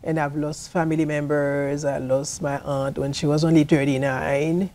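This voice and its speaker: A middle-aged woman from Haiti, a nurse practitioner, speaking casually and a little haltingly as an interview guest. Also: deep voice, flat delivery, mellow, slight background noise. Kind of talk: casual personal storytelling in an interview.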